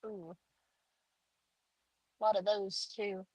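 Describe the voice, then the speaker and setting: A woman's voice: mid-range voice, level tone, takes it slowly, darkish tone, no echo, poor audio. A woman guest author chatting in an interview, hesitant, with many fillers. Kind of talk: casual interview chat.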